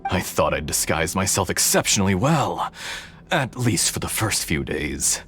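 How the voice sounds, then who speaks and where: An actor playing a cold, scheming male scientist villain who speaks in a smooth, coolly mocking way. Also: deep voice, big pitch swings, a little slow, a bit bright, faint music behind, heavily acted. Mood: smug. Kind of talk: game cutscene voice acting.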